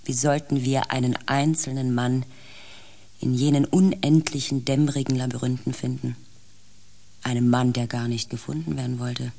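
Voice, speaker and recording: Low-voiced, grave female space pilot. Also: flat delivery, normal speed, gravelly, mellow, heavily acted. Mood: grave, resigned. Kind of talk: radio play acting, dramatic first-person narration.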